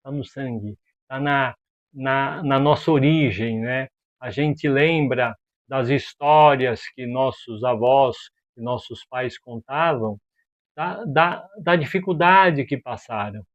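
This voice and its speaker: A man from Brazil giving casual opinion commentary as a video host, animated and exasperated, full of rhetorical questions and slang. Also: medium pitch, a little slow, muffled recording.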